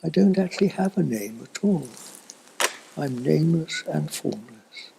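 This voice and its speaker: An elderly man giving a slow, reflective spiritual talk in a husky, breathy voice that often fades toward a whisper, with long thoughtful pauses. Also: fairly high pitch, animated, background noise. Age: older, elderly. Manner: contemplative, halting with long pauses. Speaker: spiritual teacher.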